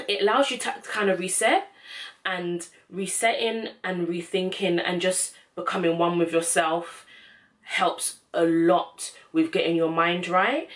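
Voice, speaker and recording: A young woman gives casual, encouraging advice in a slangy, informal vlog style. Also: on the low side. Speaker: self-help vlogger.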